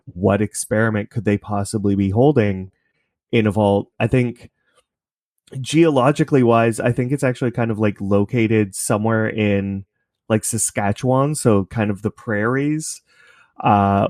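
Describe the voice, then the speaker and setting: A man from Canada with a fairly low voice chats as an interview guest. His delivery is casual and conversational, recorded dry with little room sound. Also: darkish tone, dry recording. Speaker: podcast creator, interview guest.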